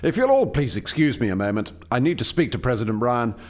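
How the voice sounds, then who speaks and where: A man's voice: medium pitch, sing-song, fast, close to an everyday voice. An actor playing an earnest alien scientist in a radio play, hesitant and deferential as he explains and defends his work. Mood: flustered.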